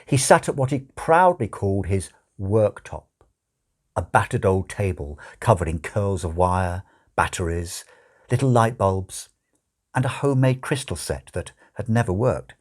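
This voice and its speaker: A man narrating a story as an audiobook reader, with a wry, measured and expressive delivery. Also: fairly low pitch.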